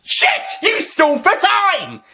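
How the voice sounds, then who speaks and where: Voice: wildly swooping pitch, unhurried, full character voice. A person voicing a ranting, villainous male time-lord who shrieks and cackles. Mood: furious. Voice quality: shrill, shouty, cackling.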